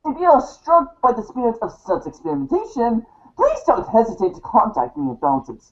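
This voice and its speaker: Woman as a high-pitched, wordy know-it-all guide. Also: wildly swooping pitch. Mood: arch, intrigued. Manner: wordy, formal, arch, halting in places. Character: verbose, dryly self-important advice writer reading a guide. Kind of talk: theatrical scripted monologue for storybook show. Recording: dull, lo-fi recording.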